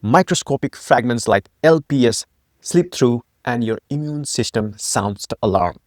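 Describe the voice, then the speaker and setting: A man's voice: mid-range voice, expressive, normal speed, no echo, clean studio sound. A man from Nepal, founder and host of a health channel, giving a scripted educational narration with emphatic rhetorical questions.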